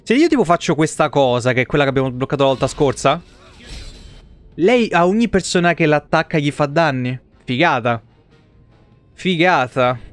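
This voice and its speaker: A young man doing casual, animated live game commentary in slangy language with frequent swearing. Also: on the high side, normal speed, soft background music. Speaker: game streamer.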